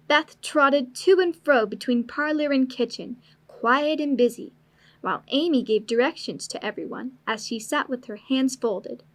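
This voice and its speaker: A woman narrator reading a classic family story in a scripted storybook style. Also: sits high.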